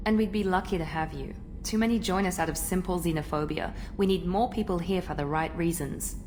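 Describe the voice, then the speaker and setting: A woman's voice: fairly low pitch, fairly quick, a little mellow, slight background noise, natural voice. A woman playing a proud, cool elite operative in a video game, frank and composed. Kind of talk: video game character acting.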